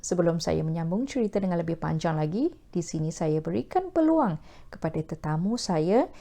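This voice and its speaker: Mellow Malaysian woman presenting like a newsreader. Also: fairly low pitch, sing-song, vocal fry. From Perak, Malaysia. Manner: measured, newsreader-like diction. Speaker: host of a talk video series. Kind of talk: formal scripted presentation to camera.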